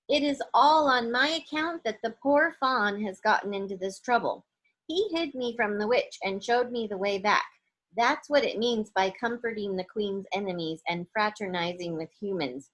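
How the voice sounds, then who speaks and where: A woman's voice: fairly high pitch, a bit bright. A woman voicing an earnest, emphatic young girl in a storybook.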